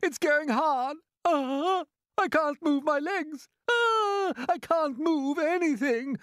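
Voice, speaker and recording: Storybook centipede, shrill high pitch, loud, self-pitying, drawn-out wails. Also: male voice, animated, no echo, clean studio sound, full character voice. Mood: anguished moaning.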